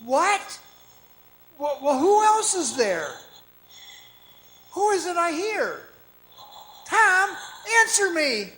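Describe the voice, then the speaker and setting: An actor playing an anxious, halting miner trapped underground in a suspense radio drama. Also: male voice, very high-pitched, big pitch swings, bright, forceful tone, full character voice, poor audio. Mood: nervous, bewildered. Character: frightened trapped miner, jittery, recounting his ordeal. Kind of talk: first-person suspense radio drama acting. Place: performing arts center stage.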